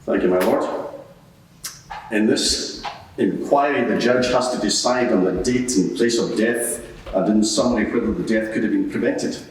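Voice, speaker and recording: A man's voice: on the low side, moderate pace, a little hiss or hum, lightly acted. A man playing a scornful Roman procurator, arguing in formal, rhetorical court style in a large echoing room. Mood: formal, assertive. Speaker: advocate in a mock court inquiry. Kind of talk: courtroom cross-examination and closing submission. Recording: very echoey hall, far from the mic.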